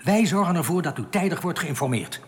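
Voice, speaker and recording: An actor playing a solemn underground rebel in a radio drama, giving grave warnings in a measured, earnest, formal way. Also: male voice, on the high side, lively intonation, lightly acted. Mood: solemn urging. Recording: long echoing reverb.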